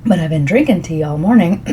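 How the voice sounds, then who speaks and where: Croaky middle-aged lady, soft asmr tea chat, slow and rambly, faint hiss. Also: lowish voice. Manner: rambling, soft and unhurried with fillers. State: croaky, frequently clearing throat.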